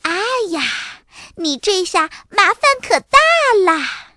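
A woman voicing a cheeky, tattling little girl in a children's storybook, with a teasing, drawn-out delivery. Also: sits high, big pitch swings, pushed and bright, no room sound, studio quality, voice pushed up, exaggerated voice. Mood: taunting. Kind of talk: children's storybook character acting.

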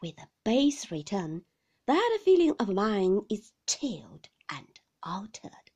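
A woman's voice: mid-range voice, sing-song, takes it slowly, a little mellow, husky, exaggerated voice. A woman voicing a stern, domineering husband in an audiobook, commanding and pompous, with cold indignation and heavy emphasis. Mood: cold, severe. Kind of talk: audiobook character acting of a classic novel.

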